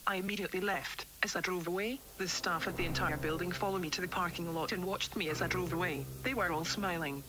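Woman acting a doomed doctor, low-voiced and somber. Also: fast talker, few pauses, noisy background, close to an everyday voice. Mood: dread. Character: doomed infected woman doctor recording grim tape diaries. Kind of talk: analog horror audio diary.

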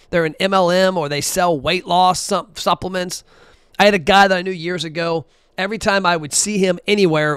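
Blunt, animated man on a dry mic. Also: high voice, clean tone, no echo. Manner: blunt, animated, talks while scrolling and counting aloud. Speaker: podcast host. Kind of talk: casual solo podcast talk.

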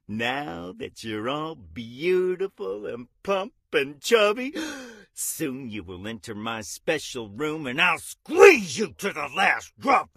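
A sinister ice cream man from a horror video game. The voice is raspy and swoops wildly between high and low. He drags out his gleeful, menacing taunts very slowly in a dry, close sound. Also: sing-song, fairly bright tone, no echo, exaggerated voice. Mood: mocking menace. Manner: theatrical, menacing, sing-song taunting with swooping pitch. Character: sinister, gleeful masked ice cream man villain who cages kids. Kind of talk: video game villain voice acting.

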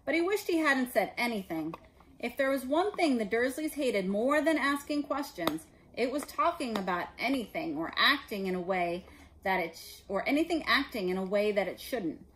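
A woman in her forties reading a children's story aloud as an expressive narrator. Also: a little high, moderate pace, slight background noise, rough recording. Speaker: storybook reader. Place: living room indoors.

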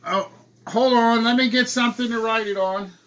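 A man putting on a confused, hard-of-hearing old man on a prank call, slow and insistent, with a strained raised voice. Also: very high-pitched, steady tone. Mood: befuddled. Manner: slow, insistent, repeating himself. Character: confused, hard-of-hearing old man fretting about his benefit check. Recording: home room with some background noise.

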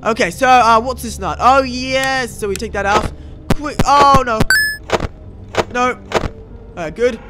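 A young man giving excitable gameplay commentary as the host, exclaiming and often repeating his words. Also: very high voice, slow, long pauses, very noisy. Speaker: gaming video host. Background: game music and sounds.

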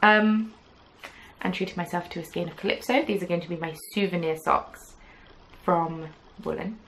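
Gravel-edged woman chatting about yarn. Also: fairly low pitch, moderate pace, fairly bright tone, a little hiss or hum, rough recording. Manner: chatty, rambling, many "um"s, playful asides. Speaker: knitting podcast host. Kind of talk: casual chat to camera. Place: small indoor craft room with yarn shelves. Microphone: camera at desk distance.

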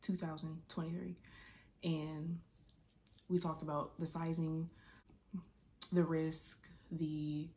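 A woman in her twenties chats to her camera from a bedroom, circling back and restarting her sentences as she goes. Her voice sits on the low side and stays fairly level, with plenty of vocal fry at the ends of phrases. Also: level tone, takes it slowly, pauses often, warm tone. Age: 20s. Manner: rambling, frequent restarts and "like". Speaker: vlogger. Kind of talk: casual personal vlog. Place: bedroom, indoors. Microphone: camera at desk distance.

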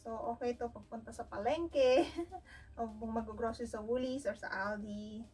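A woman's voice: a little high, normal speed, a little mellow, slightly rough, recorded from a distance, soft background music. A woman in her thirties with a Filipino accent, chatting casually through a haul unboxing at home and slipping Tagalog words into her English. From Philippines. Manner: chatty, mixes Tagalog into English, thinks aloud with fillers. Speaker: fashion vlogger. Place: home room with wooden drawers, indoors.

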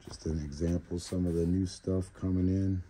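A man's voice: deep voice, little pitch movement, normal speed, dark tone. A man giving a casual, laid-back store tour in short remarks, recorded on a handheld camera inside a large store. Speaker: vlogger, toy store tour host. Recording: clear background store noise.

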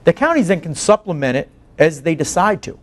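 A man's voice: on the high side, sing-song, fairly quick. A man in his forties giving a lecture in an earnest, emphatic way, tagging points with "right?". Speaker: guest lecturer, public defense advocate. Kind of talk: lecture to a faculty colloquium with questions. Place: meeting room, indoors.

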